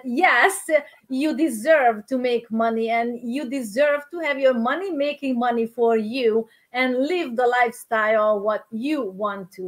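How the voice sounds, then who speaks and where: A woman from Hungary with a Hungarian accent, hosting a chat and encouraging viewers directly. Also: a little high. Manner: encouraging, speaks straight to viewers. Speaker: host. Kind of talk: casual interview chat.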